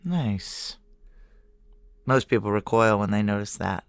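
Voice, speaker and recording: An actress playing a tough, wary female security chief in a noir science fiction audio drama, speaking in a clipped, deadpan, commanding way. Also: extremely low pitch, slow, no echo, full character voice. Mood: dry, guarded.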